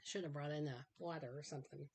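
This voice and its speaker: A middle-aged woman talks through her craft projects in a loose, rambling way, filling pauses with ums and uhs. Her voice sits a little low, and it sounds dry and slightly worn from a recent sinus infection. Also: fairly even intonation, darkish tone, husky. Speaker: craft vlogger. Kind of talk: casual show-and-tell chat. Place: home craft room.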